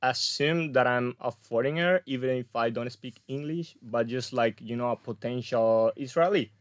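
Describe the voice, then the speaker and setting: A man's voice: mid-range voice, normal speed, dry recording, dull audio. A young man from Colombia, a graduate student guest, talking casually in an interview with many fillers and restarts. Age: young adult.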